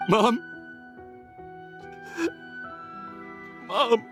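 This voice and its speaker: An actor playing a distraught grown son in a video game, pleading in anguish. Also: male voice, extremely high pitch, slow, sharp tone, full character voice. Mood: lost, grieving. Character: distraught grown son begging for his mother. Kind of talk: dramatic video game voice acting. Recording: music under the voice.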